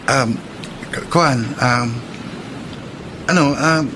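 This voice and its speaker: A man's voice: medium pitch, extremely slow. An actor playing an earnest man in love in a radio romance drama. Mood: casual, shy. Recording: radio drama with clear background noise.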